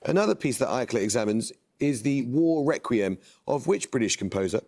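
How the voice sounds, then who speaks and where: A man hosting a quiz show in a TV studio, reading questions in a measured, precise way. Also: medium pitch, animated, darkish tone.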